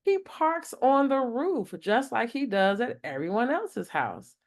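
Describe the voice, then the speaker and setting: A woman voicing a gentle mother in a picture book, patiently explaining and soothing. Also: on the high side, big pitch swings, measured pace, pitched above the performer's own voice. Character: gentle, reassuring mother explaining magic to her daughter.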